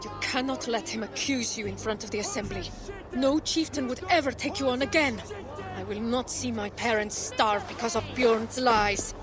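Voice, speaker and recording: An actress playing a shrewd, ambitious and devoted Viking wife in a video game cutscene. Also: female voice, on the high side, moderate pace, natural voice. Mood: determined. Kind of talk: dramatic game cutscene acting. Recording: music behind the voice.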